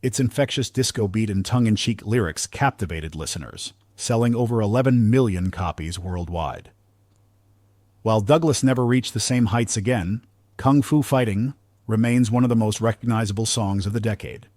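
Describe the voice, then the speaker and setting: A man's voice: on the low side, moderate pace, a little mellow, dry recording. A man reading a scripted music countdown as narrator, with upbeat, promotional phrasing.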